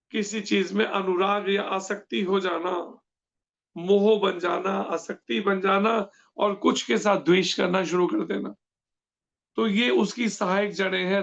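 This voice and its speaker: Man teaching a scripture class, high gritty voice, asks the room questions as he goes, dull lo-fi sound. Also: fairly even intonation, gravelly, fairly bright tone. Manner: explains slowly, quizzing listeners with questions. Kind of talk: devotional scripture lecture.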